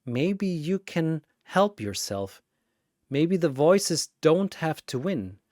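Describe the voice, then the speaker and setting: Man voicing a soft, earnest female android. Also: fairly high pitch, sing-song, slow pace, no room sound, clean studio sound, voice pushed up. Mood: earnest reassurance. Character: calm, motherly female android fighter, gently reassuring.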